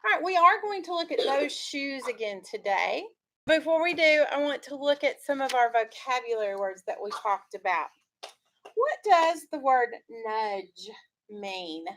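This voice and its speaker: A woman's voice: high-pitched, very animated, a little slow, a bit bright, slight background noise. A woman teaching young schoolchildren in a classroom, leading a reading discussion with instructive questions. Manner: instructive, questioning, checking understanding.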